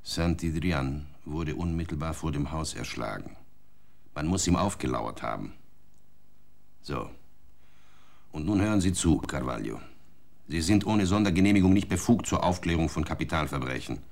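An actor playing a stern police inspector in a radio drama, talking in curt, commanding questions and warnings. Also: male voice, rumbling low, lively intonation, moderate pace, creaky, long pauses, darkish tone, exaggerated voice. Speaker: police interrogator.

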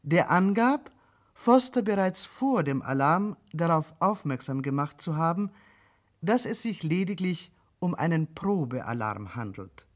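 An announcer narrating a radio play recap in a measured, formal manner. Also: mid-range voice, very animated. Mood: formal, factual.

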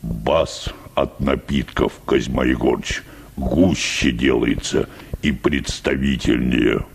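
An actor in a radio play voicing a meek, rambling, hard-drinking church bass with a hoarse, hesitant, deferential delivery. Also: male voice, fairly even intonation, takes it slowly, background noise, full character voice. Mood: earnest, pompous. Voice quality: deep, hoarse, gravelly bass. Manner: wordy, hesitant, deferential, repeating polite filler phrases. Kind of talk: radio play acting of a comic short story.

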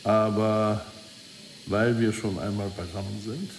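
An actor playing a sly hired accomplice in a radio drama, speaking with deferential, unhurried politeness. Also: male voice, on the low side, steady tone, a little hiss or hum. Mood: smoothly apologetic.

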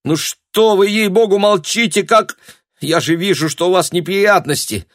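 Indignant, plain-spoken young saleswoman in an audiobook, performed by a man, pitched up, exasperated. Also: sing-song, unhurried, husky, dry recording, clean studio sound, full character voice. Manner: exasperated, forthright. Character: outspoken, indignant young female shop assistant.

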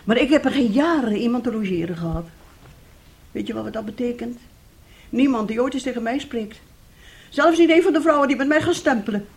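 A woman's voice: on the high side, lively intonation, fairly quick. Radio play mother, middle-aged; bossy and wheedling, with swooping intonation. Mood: self-pitying. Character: bossy, scheming, self-pitying mother coaxing her son.

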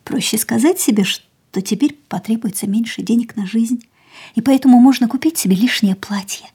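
An actress playing an ailing, proud and wistful young woman, reflecting in a measured, theatrical way in a radio drama. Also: fairly high pitch, mellow, slightly rough. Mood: bittersweet, reflective. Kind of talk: radio play dialogue and inner monologue.

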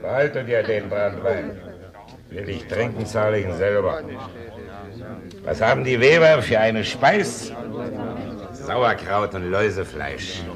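An actor playing a gruff, defiant blacksmith and old soldier, blunt and scornful, in a German radio play. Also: male voice, on the low side, lively intonation, slow pace, long pauses, a crowd in the background.

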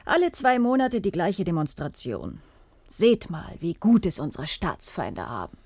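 A woman's voice: lowish voice, wildly swooping pitch, a little slow, darkish tone, husky, no room sound, full character voice. A woman playing a sceptical, bitter and uneasy adult daughter in a radio play, with a brooding, drily sardonic manner. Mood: bitterly sardonic.

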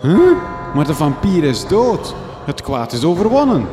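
Animated, naive Flemish reporter. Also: male voice, fairly high pitch, unhurried. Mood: baffled, indignant. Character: naive, indignant young male reporter hero. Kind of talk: comic radio drama acting. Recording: echoing hall, music underneath.

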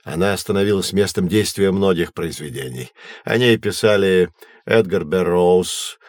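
An actor playing a patient, knowledgeable teacher in an educational audio drama, explaining in measured, clear phrases. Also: male voice, deep voice, no echo, studio quality. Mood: explanatory. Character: patient, knowledgeable male astronomy teacher guiding children.

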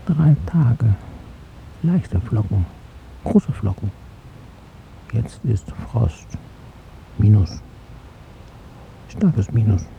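An older actor in a radio drama playing a weary, stubborn old psychiatric patient who speaks in terse, brooding fragments. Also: male voice, on the low side, lively intonation, very slow, creaky, pauses often, warm tone, muffled recording, a little hiss or hum, exaggerated voice. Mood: agitated, defiant. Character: weary, stubborn old male psychiatric patient, former East German dissident.

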